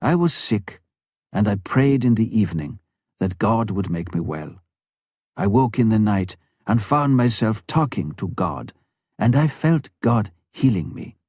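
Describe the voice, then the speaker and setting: A man's voice: fairly low pitch, expressive, a little slow, darkish tone, no echo, clean studio sound. A man voicing a wizened, solemn and earnest old village chief in a storybook narration. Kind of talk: storybook character quote in narration.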